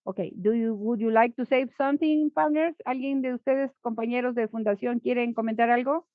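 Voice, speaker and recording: High-voiced woman on tinny audio. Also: fast, no room sound. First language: Spanish. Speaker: event presenter and interpreter. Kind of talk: presenting and summarizing at an online event. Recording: tinny, phone-like call audio.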